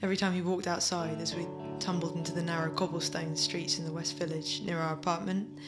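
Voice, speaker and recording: An actress playing a wistful, reflective daughter in an audio drama monologue, telling her memories haltingly. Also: lowish voice, little pitch movement, music in the background, lightly acted. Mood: grieving, haunted. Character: wistful daughter recalling her adored theatre-critic father.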